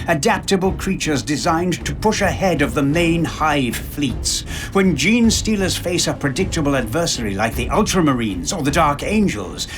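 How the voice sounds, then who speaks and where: Music plays behind a man acting as a grave, knowing chronicler of a far-future war. His voice sits slightly high for a man, and he delivers the scripted game narration in a measured, portentous way. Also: lightly acted. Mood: ominous.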